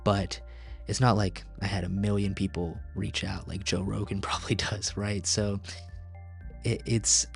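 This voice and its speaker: Young male host whose first language is Tamil, low flat delivery, rambling casual monologue, faint music bed. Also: unhurried, vocal fry. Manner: casual, rambling, many fillers and restarts. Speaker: podcast host. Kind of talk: solo podcast monologue.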